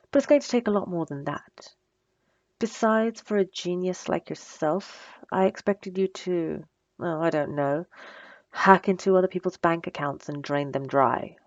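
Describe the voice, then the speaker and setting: A woman playing a bossy, sarcastic supervillain with a soft spot, in a teasing, dry, conversational ASMR roleplay. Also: low voice, dry recording, dull audio. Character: female supervillain, bossy and sarcastic, secretly flustered by compliments. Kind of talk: ASMR roleplay audio drama.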